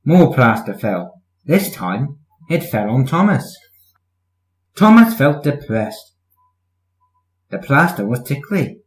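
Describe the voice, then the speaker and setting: Animated male storyteller, echoey room. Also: medium pitch. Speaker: story reader. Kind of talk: animated children's storybook narration.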